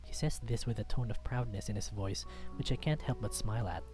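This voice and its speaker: A man's voice: lowish voice, a little fast, darkish tone, faint music behind. A man reading a visual novel aloud as dramatic first-person story narration.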